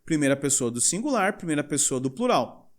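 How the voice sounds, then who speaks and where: A young male English teacher with a Brazilian Portuguese accent, explaining grammar in a lively way and reading example sentences slowly. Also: fairly high pitch. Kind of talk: recorded language lesson.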